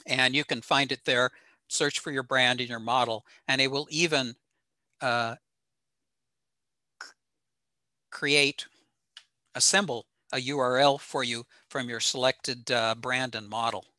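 An older man giving an informal technical slide presentation in a halting, matter-of-fact way. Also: medium pitch, steady tone, bright, forceful tone, no echo. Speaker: presenter at an amateur radio talk. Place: small room at home, on a video call.

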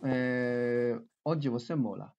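A man in his twenties with a Chinese accent speaks slowly and hesitantly, often restarting and repeating words. His voice is heard through dull, lo-fi video call audio from a small room. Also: medium pitch, vocal fry, a little mellow. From China. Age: 20s. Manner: halting, hesitant, repeats words while searching. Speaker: language learner and channel host. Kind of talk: casual video chat. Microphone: webcam on a video call.

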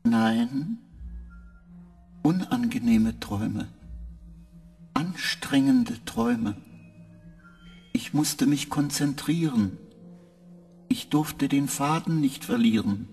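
An actor playing a weary male spaceship computer that answers in halting, clipped, literal sentences. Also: a little high, big pitch swings, gravelly, darkish tone, faint music behind, exaggerated voice. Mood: flat.

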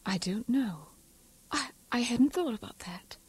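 A woman's voice: a little high, animated, a little slow, darkish tone, husky, exaggerated voice. A woman playing a timid, lovestruck young missionary in an audio drama, earnest and hesitant.

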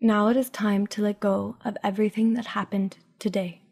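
A woman leads a guided meditation for sleep with a slightly low, mellow voice. Her intonation stays flat and even, and she speaks in a hushed, soothing way. She takes her time, leaving long pauses between scripted phrases. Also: gravelly.

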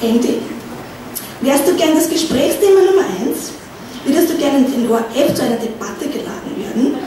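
A woman voicing a wily male marketing manager with an Austrian accent, coaxing and explaining slowly, on a stand mic in a small pub. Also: high voice, expressive. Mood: persuasive. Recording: echoey bar room, distant mic, noisy.

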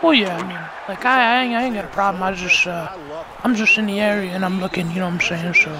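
A man voicing a creepy, chatty old man on a prank phone call, halting and repeating himself. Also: high voice, pitched above the performer's own voice, close to an everyday voice. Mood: friendly. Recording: very noisy call over game audio.

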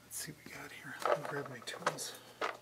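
A man whispering softly and breathily in an ASMR roleplay, hesitant and muttering to himself as he works as a mechanic. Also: on the high side, little pitch movement. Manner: slow, hesitant, muttering to himself while working. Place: indoor room, close to camera.

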